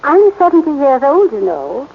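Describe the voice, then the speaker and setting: A sweet, genteel lady of seventy in a 1940s radio play. Her voice is high, her phrasing polite and fluttery. The sound is muffled, with faint old-broadcast noise. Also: big pitch swings, moderate pace. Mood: earnest, fluttery.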